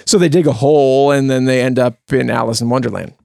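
A man's voice: medium pitch, fairly even intonation, quick pace, creaky, mellow, dry recording, clean studio sound. A man hosting a podcast with casual, playfully dramatic banter. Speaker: podcast host. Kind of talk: casual comic banter and introduction.

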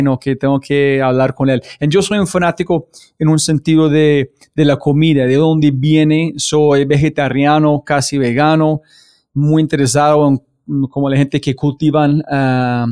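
A male interview host with a somewhat high voice talks in an animated, curious way and fires off quick questions. Faint music sits under his voice. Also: smooth. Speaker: podcast host. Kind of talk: casual interview.

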